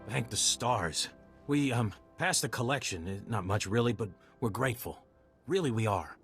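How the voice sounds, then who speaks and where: Blunt folksy frontier fighter in a game, feisty, middle pitch, faint music behind. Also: male voice, animated, moderate pace, fairly bright tone, husky. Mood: grateful. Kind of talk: video game voice acting.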